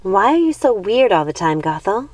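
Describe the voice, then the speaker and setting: A woman's voice: mid-range voice, very animated, normal speed, darkish tone, dry recording, voice pushed up. A woman voicing a blunt, protective young witch sister in a storybook, with a dismissive manner.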